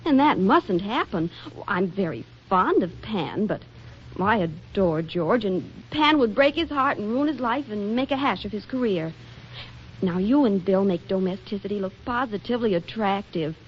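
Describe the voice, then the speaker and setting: An actress playing a flippant, scheming, exasperated wife in a 1940s radio comedy, delivering wisecracks with arch drama. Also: female voice, medium pitch, expressive, moderate pace, close to an everyday voice. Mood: insistent, scheming. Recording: dull, faintly noisy 1940s radio recording.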